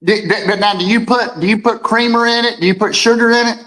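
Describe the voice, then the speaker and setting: A man with a deep voice co-hosting a casual morning talk show, rambling and repeating words as he reads viewer comments. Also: steady tone, fast talker, sharp tone. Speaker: co-host.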